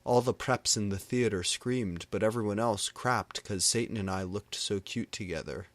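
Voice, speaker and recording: A man narrating comic fan fiction as a deadpan dramatic reading. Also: lowish voice, moderate pace, dry recording.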